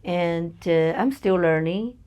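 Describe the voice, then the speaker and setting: A woman's voice: fairly low pitch, takes it slowly, lo-fi sound. A woman with a Chinese accent, a native Chinese speaker, talking in a personal interview as a podcast guest. She is hesitant and searches for words, with many fillers. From China.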